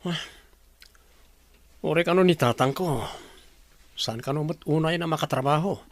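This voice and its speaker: A man's voice: a little high, unhurried. A man with an Ilocano Filipino accent playing a troubled countryman from a struggling family in a radio drama.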